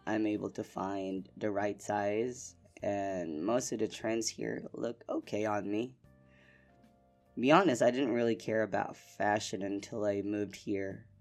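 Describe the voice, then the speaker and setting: A young man from the Philippines hosting a casual solo podcast, relaxed and rambling with lots of fillers. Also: fairly low pitch, creaky. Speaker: podcast host.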